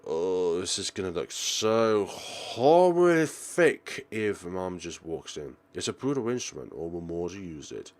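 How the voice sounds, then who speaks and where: Low-voiced man with swooping, theatrical delivery. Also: big pitch swings, unhurried, hardly any pauses. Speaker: let's player reading a visual novel aloud. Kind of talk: game commentary with dramatic read-aloud narration.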